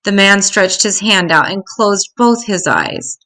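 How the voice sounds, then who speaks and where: An older woman reading a rhyming children's story aloud in an expressive, dramatic, deliberate way. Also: medium pitch.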